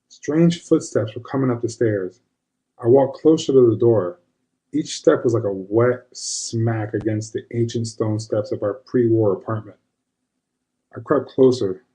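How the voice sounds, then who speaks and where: A man's voice: fairly low pitch, mellow, lightly acted, rough recording. A man playing an ordinary, square fellow telling a first-person tale in a conversational, confiding way. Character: self-described square man recalling his witch grandmother. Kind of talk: first-person storytelling monologue.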